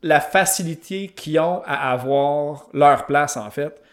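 A man's voice: on the high side, slow pace. Colloquial Québécois man interviewing. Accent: Québécois French. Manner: relaxed, colloquial, stumbling restarts. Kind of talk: casual interview on a call. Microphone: video call.

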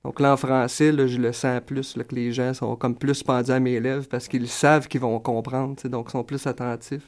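A young adult man with a Quebec French accent, a singer-songwriter answering interview questions in a relaxed, thoughtful way with many fillers. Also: medium pitch, moderate pace.

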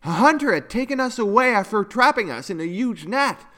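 A kindly father unicorn from a children's storybook, voiced by a man, explains things calmly. His voice is on the high side, with expressive rises and falls. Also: a little fast, full character voice. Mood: reassuring.

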